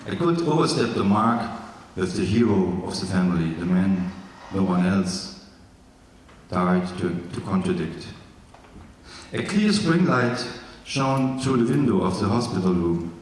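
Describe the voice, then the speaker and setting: A German-accented man reads his memoir aloud before a live audience in a large hall. His voice sits fairly low and comes from some distance off the microphone, carried by a long, booming echo. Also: big pitch swings, a little hiss or hum. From East Germany. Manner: careful, slightly halting reading with jokey asides. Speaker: author reading his memoir. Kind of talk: live literary reading. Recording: echoey hall from far off.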